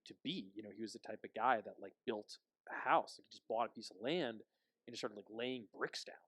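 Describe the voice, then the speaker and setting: A man's voice: lowish voice, a little fast, fairly bright tone, husky, no room sound. A young adult man from Connecticut, a podcast guest who talks in a reflective, thoughtful way with many fillers. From Connecticut, New England. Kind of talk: casual podcast chat.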